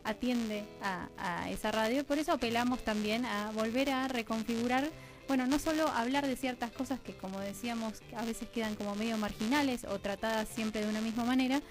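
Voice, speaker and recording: A woman's voice: fairly high pitch, fairly quick, soft background music, poor audio. A woman with an Argentine accent talking discursively and reflectively as a guest in a conversational radio interview. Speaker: radio drama producer and guest.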